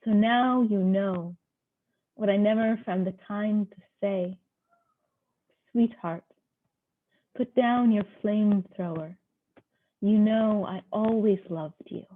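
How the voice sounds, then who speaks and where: A woman's voice: medium pitch, slow, long pauses. A woman with a middle-register voice reads at an online poetry gathering. Her delivery is a recitation, and the audio is thin and narrow, like a phone call. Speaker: poetry reader at an online open mic.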